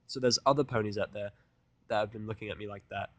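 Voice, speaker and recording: A man's voice: fairly low pitch, steady tone, fast talker, a bit bright, dry recording. A man reading a first-person fan fiction audiodrama in a conversational, blunt, sardonic and profane manner. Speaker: fan fiction reader and narrator.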